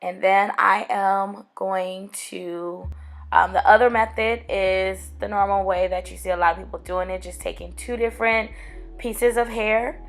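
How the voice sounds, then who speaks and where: Gravelly young woman with a cold. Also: mid-range voice, fairly even intonation, unhurried, faint music behind. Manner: chatty, addresses viewers as guys and girl. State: getting over a cold, raspy. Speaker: hair tutorial vlogger. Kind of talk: casual step-by-step tutorial. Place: small room at home, indoors. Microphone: camera close up, braiding her own hair.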